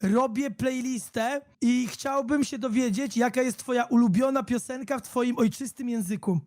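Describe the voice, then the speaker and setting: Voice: medium pitch, normal speed, a little mellow, dry recording, clean studio sound. Polite Polish-speaking player on voice chat. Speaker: fellow game player. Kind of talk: casual gaming chat.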